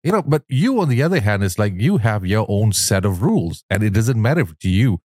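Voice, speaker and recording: Male talk host, lowish pitch, dry close sound, effusive and conversational. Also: very animated, fairly quick, darkish tone, no room sound. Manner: effusive, complimentary. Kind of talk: casual interview chat.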